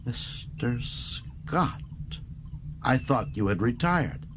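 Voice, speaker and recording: A man's voice: medium pitch, very animated, very slow, background noise, pitched above the performer's own voice, exaggerated voice. A man playing a confident, commanding older starship captain in a science-fiction audiobook. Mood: surprised, warm.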